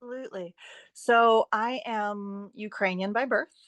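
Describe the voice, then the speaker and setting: A woman's voice: mid-range voice, steady tone, a little slow, fairly bright tone, no room sound, lo-fi sound. Woman explaining emphatically, close dry sound. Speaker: author and editing expert, podcast guest. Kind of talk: conversational interview, explaining writing tips.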